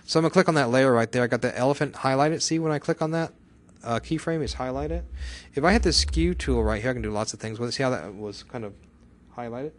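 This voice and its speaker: A man teaches software in a casual screencast style, talking at a fast clip. His pitch is mid-range, and he is chatty, punctuating the lesson with exclamations and quick questions to the learner. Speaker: software instructor.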